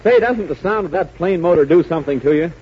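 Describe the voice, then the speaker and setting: A man's voice: a little high, animated, fast talker. A man with an American accent announcing in dramatic, emphatic 1940s radio style. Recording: muffled, hissy 1940s radio recording.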